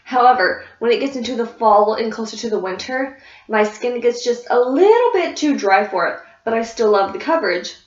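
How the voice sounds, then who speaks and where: A woman's voice: fairly high pitch, expressive, normal speed. Congested woman chatting in an echoey room. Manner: rambling, many fillers and restarts. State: congested, sniffly. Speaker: beauty vlogger. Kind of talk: casual product review chat. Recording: echoey room, dull lo-fi sound.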